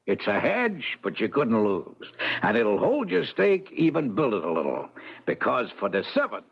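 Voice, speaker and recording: A gravelly, swooping wiseguy horse. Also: male voice, mid-range voice, wildly swooping pitch, moderate pace, heavily acted. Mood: confident, coaxing. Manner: slangy, cocky tipster patter. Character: streetwise, wisecracking male talking horse who tips races. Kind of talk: old-time radio comedy acting. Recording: dull old-time radio recording.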